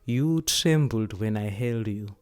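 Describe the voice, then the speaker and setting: Woman as a low, hushed old grandmother. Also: slow pace. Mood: tender. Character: elderly grandmother, caring but evasive. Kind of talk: acted dialogue in a short story reading.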